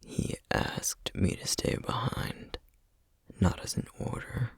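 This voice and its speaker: A man playing a solemn, weary, duty-bound flame demon in an ASMR roleplay, whispering breathily and haltingly. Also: no room sound, clean studio sound, natural voice. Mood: quiet, hesitant. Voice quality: breathy, husky whisper with gravelly edges. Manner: slow, halting, measured delivery with heavy pauses. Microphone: close microphone, dry sound.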